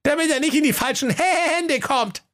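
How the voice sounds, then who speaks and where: A man voicing a band of cheeky little goat kids in a children's story, chattering high and squeaky. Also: normal speed, a bit bright. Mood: earnest.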